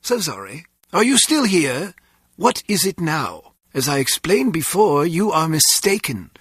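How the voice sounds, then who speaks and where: A man's voice: on the high side, sing-song, measured pace, fairly bright tone, husky, dry recording, exaggerated voice. A man playing a haughty, sardonic English butler in a video game, with a British accent and dry, formal sarcasm. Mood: haughty dismissal. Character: snooty, sardonic male butler to an English baron. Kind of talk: scripted video game voice acting.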